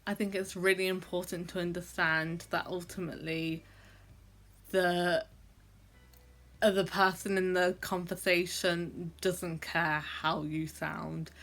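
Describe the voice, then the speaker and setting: A woman's voice: fairly low pitch, a bit bright. A young adult woman who stammers, talking reflectively to camera in a personal vlog, with frequent fillers and repeated sounds. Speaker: vlogger.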